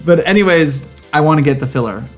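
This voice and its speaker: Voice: medium pitch, expressive, quick pace, soft background music. A young American podcast co-host with a sassy, campy, slangy manner, chatting and bantering casually.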